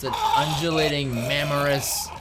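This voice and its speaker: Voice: mid-range voice, fairly even intonation, moderate pace, heavy background noise. A gaming show co-host chatting casually and jokingly, with a giggly, goofy manner and a habit of silly sounds. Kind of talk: casual joking let's play chat.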